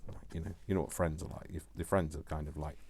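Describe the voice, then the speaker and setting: A man's voice: rumbling low, steady tone, fast talker, darkish tone, a little hiss or hum. A British man hosting a casual craft tutorial, chatting in a rambling, jokey way as if to himself. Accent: British English.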